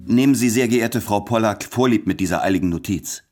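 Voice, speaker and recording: A courteous, self-doubting male writer played by an actor reads intimate letters in a radio play. His voice sits low, and his delivery is formal, tender and introspective. Faint music runs underneath. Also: brisk, a little mellow, lightly acted.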